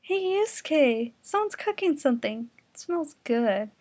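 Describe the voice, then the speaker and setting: A woman voicing a naive, cheerful teenage girl in a spacey, drawn-out way for a story reading. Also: sits high, big pitch swings, darkish tone, pitched above the performer's own voice. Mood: dazed cheer.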